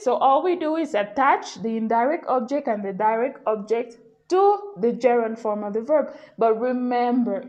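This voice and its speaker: Slow-paced, higher-voiced woman teaching. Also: a little mellow, dull audio. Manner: patient, explanatory, often checks with "okay?". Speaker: Spanish language teacher. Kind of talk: informal slide-based grammar lesson.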